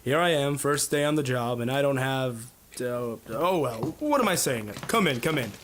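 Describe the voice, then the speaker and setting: A man's voice: mid-range voice, lively intonation, normal speed, fairly bright tone, a little hiss or hum, lightly acted. Noir radio drama: male actor as overworked new detective, mid-range voice, self-effacing, friendly, a little unsure of himself. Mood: flustered. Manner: hesitant, self-effacing, amiable.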